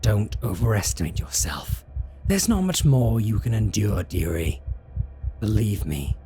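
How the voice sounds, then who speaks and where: A man voicing a slow, menacing, seductive vampire. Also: on the low side, big pitch swings, mellow, slight background noise. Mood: hungry, taunting. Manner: theatrical, drawn-out, mock-tender, threatening. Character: possessive, menacing, seductive male vampire interrogating captive. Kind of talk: scripted roleplay audio drama.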